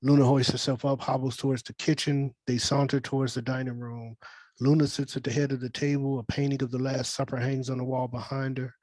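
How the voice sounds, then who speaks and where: A man narrates stage directions in a flat, even voice of medium pitch. The sound is dry and close, and the delivery is that of a scripted table read. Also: fairly quick, no echo, low-quality recording.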